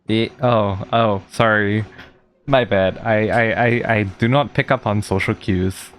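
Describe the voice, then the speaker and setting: A man's voice: fairly low pitch, fairly even intonation, unhurried. A man giving casual playthrough commentary as a video game streamer. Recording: game music behind the voice.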